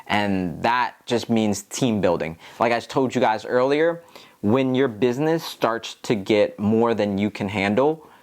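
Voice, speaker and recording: Low-pitched American man, earnest vlogger. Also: expressive, a little slow. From Philadelphia, United States. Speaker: real estate agent and video host. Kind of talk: casual tutorial talk to viewers.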